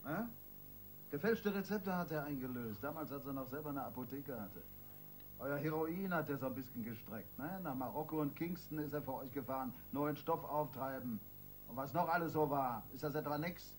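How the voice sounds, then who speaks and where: Radio crime play: male actor as tough, sarcastic interrogating cop, medium pitch, blunt and needling. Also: normal speed, slight background noise, natural voice. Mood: pressing. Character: hard, mocking male police detective interrogating a suspect.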